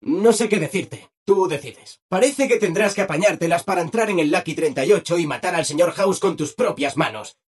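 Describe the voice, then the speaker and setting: High-pitched, chirpy, eager robot assistant. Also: male voice, fairly quick, husky. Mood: helpful, obliging. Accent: Castilian Spanish. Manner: chirpy, over-obliging, exclamatory. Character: relentlessly cheerful, eager-to-please robot assistant. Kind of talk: video game character voice acting.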